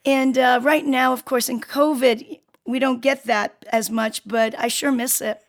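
A woman in her late fifties from South Korea, hosting a conversational interview in an earnest, wordy, halting way. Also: high voice, steady tone. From Seoul, South Korea. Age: late 50s. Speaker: show host interviewing a guest.

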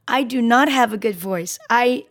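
Raspy-voiced woman hosting a radio show. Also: a little high, moderate pace. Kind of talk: casual interview chat.

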